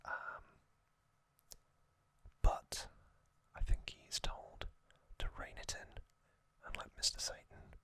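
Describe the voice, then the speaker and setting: A man hosting an ASMR video, whispering a soft, hesitant recap and correcting himself now and then. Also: takes it slowly, pauses often. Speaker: ASMR host.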